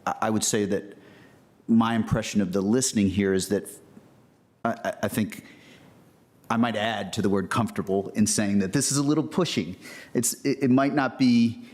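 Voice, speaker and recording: An American man on a county board, giving halting remarks at a public hearing and often repeating words as he restarts. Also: lowish voice, a little mellow.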